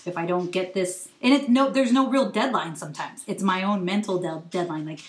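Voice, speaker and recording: A woman from Hawaii and the West Coast hosting a casual conversation, candid and quick to laugh. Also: on the low side, roomy sound. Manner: relaxed, candid, laughs often. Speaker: host.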